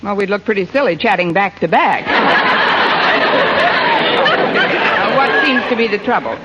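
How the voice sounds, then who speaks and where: Wisecracking schoolteacher, lively American woman. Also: mid-range voice, takes it slowly, a loud crowd. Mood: dry, amused. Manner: deadpan, wry delivery of punchlines. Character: wisecracking female high school English teacher, dry and obliging. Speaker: lead actress in a radio comedy. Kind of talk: 1940s radio sitcom acting. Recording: dull, noisy old radio recording, studio audience.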